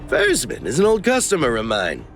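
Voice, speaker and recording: Wildly swooping, slippery game dealer. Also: male voice, mid-range voice, wildly swooping pitch, moderate pace, faint music behind. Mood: earnest, persuading. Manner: glib and theatrical, wheedling. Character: slippery cheeky male dealer, cowardly under threat. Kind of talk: English video game voice acting.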